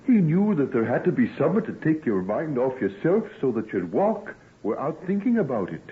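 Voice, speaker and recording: An actor playing a hearty, devoted working-class sweetheart in an old radio drama. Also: male voice, medium pitch, sing-song, normal speed, lightly acted. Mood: cheerful, tender. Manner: homely, affectionate, reassuring. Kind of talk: old-time radio acting. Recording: thin, phone-like old radio recording.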